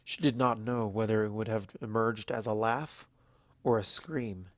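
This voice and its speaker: A man narrating dramatic fiction from a script in a measured, solemn way. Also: fairly low pitch, dry recording.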